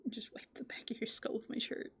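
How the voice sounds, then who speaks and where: A woman playing a playful, easygoing raccoon in an ASMR roleplay, soft-spoken and casual, often dropping into whispers. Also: fairly quick, lightly acted. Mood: gentle, casual. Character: playful, easygoing female raccoon living in a dumpster. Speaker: ASMR host.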